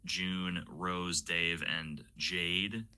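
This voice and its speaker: Very bright, low-voiced young woman interviewing. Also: steady tone, very slow, smooth. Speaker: interviewer, project creator. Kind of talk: casual chat interview.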